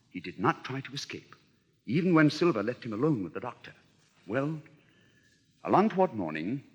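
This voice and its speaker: A man narrating a pirate adventure as storyteller, hushed and deliberate, in dramatic scripted style. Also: mid-range voice, husky, in a large hall, dull audio, pitched below the performer's own voice. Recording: noisy old vinyl record.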